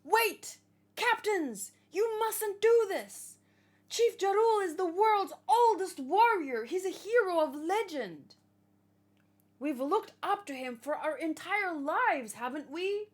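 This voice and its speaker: A woman voicing a young male giant warrior who begs his captains to stop, in a high, loud, urgent voice while reading a manga aloud. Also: expressive, slow, exaggerated voice. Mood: pleading.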